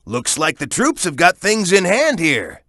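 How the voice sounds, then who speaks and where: A man's voice: high voice, huge pitch swings, unhurried, bright, forceful tone, no echo, studio quality, heavily acted. A man voicing a cocky, tough young echidna hero in a video game, giving hints in a confident, punchy, instructive manner. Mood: cocky, wary. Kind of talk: video game voice acting, tutorial hints and banter.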